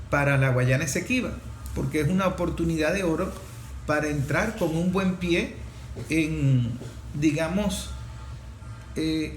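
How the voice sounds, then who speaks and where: A man with a Venezuelan accent hosts a talk show in a somewhat high voice. He speaks without a script, halting and reworking phrases as he goes. The room is clearly echoey, with faint noise behind him. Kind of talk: unscripted opinion commentary and guest introduction.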